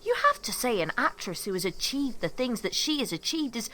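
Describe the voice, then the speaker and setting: A woman's voice: on the high side, sing-song, fairly quick, pushed and bright, poor audio. A woman playing an earnest, starstruck, eager young woman in an audio drama. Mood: shocked, earnest.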